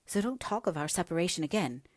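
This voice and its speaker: A woman voicing a passionate, headstrong young gentlewoman in a dramatic, emphatic audiobook reading, breathy at times. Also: on the low side, lively intonation, fast, no echo, studio quality, voice pushed up, close to an everyday voice. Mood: impassioned.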